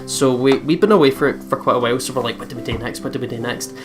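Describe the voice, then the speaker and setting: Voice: medium pitch, very fast, music in the background. A Scottish let's player chatting casually and agreeably while playing a visual novel as co-host. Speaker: co-host of a gaming let's play.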